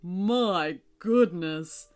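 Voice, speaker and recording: A woman voicing a kindly old male moon in a children's story, polite and gently musing. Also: on the low side, very slow, soft background music, pitched below the performer's own voice. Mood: gentle, awed.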